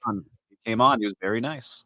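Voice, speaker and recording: A man's voice: medium pitch, brisk, sharp tone, dry recording. A man from Miami with an American accent, co-hosting a podcast in casual live chat. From Miami, United States. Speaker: podcast co-host.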